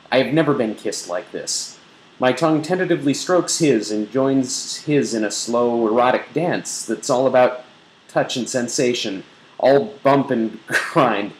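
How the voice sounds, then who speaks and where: A man giving a theatrical, mock-sensual dramatic reading of an erotic novel. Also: mid-range voice. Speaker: blogger reading aloud. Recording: roomy echo, faint background noise.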